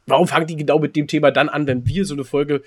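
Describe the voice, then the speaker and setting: A man's voice: on the high side, steady tone, fast talker. A man chatting casually as a podcast co-host, telling stories in a colloquial, emphatic way. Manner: colloquial, emphatic, mild swearing. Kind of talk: casual podcast chat and storytelling.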